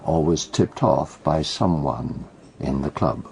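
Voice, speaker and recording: An actor playing a patient detective in a radio drama, precise and deliberate, with a dry, gravelly delivery. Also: male voice, very deep voice, a little mellow, a little hiss or hum, exaggerated voice. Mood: measured explaining. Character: measured, patient male detective, gently correcting and teasing.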